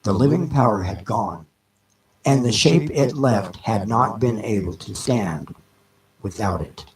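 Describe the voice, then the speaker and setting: A man reading a novel aloud as a narrator, in a measured, dramatic audiobook style with deliberate pauses between phrases. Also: on the low side, lively intonation, a crowd in the background, low-quality recording.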